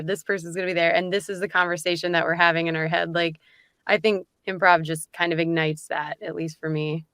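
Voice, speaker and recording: A woman's voice: mid-range voice, fairly bright tone, dry recording. Casual woman panelist with dry sound. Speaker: voice actor, panel guest.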